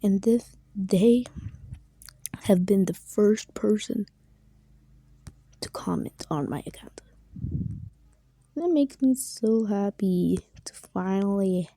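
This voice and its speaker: Young woman, casual drawing chat, breathy whisper, dry close sound. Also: mid-range voice, slow pace, pauses often, dry recording. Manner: soft, often whispering, self-deprecating. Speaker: drawing video creator.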